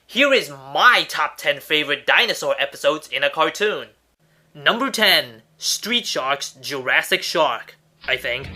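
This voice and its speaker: A man reads a scripted countdown as the host. His voice sits a little high and moves around in very lively swings. Clear music plays behind him throughout. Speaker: host of a top ten list show. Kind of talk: scripted list narration.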